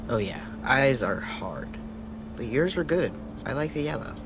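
A man playing a soft-spoken, sad and earnest young boy artist in a dramatic video game, speaking haltingly. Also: mid-range voice, big pitch swings. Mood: gentle, encouraging. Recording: clear ambient noise behind.

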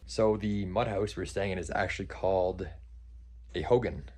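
A man's voice: low-pitched, fairly even intonation, normal speed, a little mellow. A man in his thirties talking casually as a travel vlogger, recorded on a handheld camera. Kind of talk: casual travel vlog talk. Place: outdoors on trails and inside a log cabin. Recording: noisy handheld camera, ambient sound.

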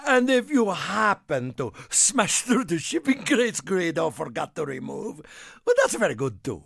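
Small Italian cartoon car, Italian accent, effusive, gravelly edge, hugely animated pitch, video game lines. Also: male voice, unhurried, no echo, clean studio sound, exaggerated voice. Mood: eager, proud. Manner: theatrical, effusive, speaks of himself in third person. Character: excitable, friendly little Italian car, a tire enthusiast.

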